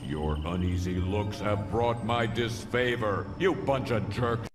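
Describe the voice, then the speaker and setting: A man playing God as a laid-back, self-absorbed gamer, talking in casual slang in a cartoon video game. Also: takes it slowly. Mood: smug, casual. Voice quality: very deep, gravelly. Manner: slangy, casual slacker talk. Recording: roomy echo with music behind.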